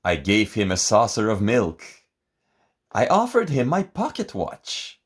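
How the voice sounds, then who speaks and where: A man reading a picture book aloud in a theatrical, expressive and deliberate way, as scripted narration. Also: fairly low pitch, slightly rough. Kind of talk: dramatic scripted storybook narration.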